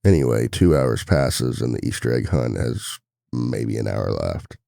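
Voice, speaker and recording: Guy playing a tender worried single dad boyfriend, super deep crackly voice, soft close-up asmr, kinda rambly. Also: lively intonation, unhurried, dry recording, heavily acted. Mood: resigned, exasperated. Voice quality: very deep, gravelly. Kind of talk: intimate boyfriend ASMR roleplay.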